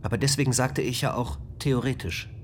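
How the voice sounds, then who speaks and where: A man voicing a calm, polite ship's computer. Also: medium pitch, brisk, a little hiss or hum, lightly acted. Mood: even. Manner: even, formal, logical. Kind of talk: radio drama acting.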